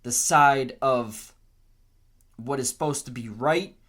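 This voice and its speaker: A man in his twenties with an American accent, giving an earnest, unscripted opinion monologue in a deliberate way, repeating phrases for emphasis. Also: medium pitch, fairly bright tone. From Canton, Massachusetts, US. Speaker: podcast host.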